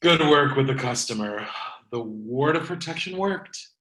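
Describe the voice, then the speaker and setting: A man's voice: medium pitch, animated, unhurried, a bit bright. A man playing a grumbling, customer-averse electronics repairman in a comedy table read, in a roomy recording. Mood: casual. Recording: echoey room sound.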